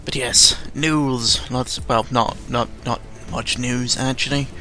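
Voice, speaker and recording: A young man talks off the cuff in a mid-pitched voice, with music playing behind him. He rambles and stumbles, cutting himself off mid-thought. His delivery is dry, sarcastic and self-deprecating, with an exasperated edge. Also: fairly even intonation, sharp tone. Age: young adult. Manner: rambling, halting, full of self-interruptions. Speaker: YouTuber recording an audio log. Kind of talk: casual unscripted news commentary. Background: background music.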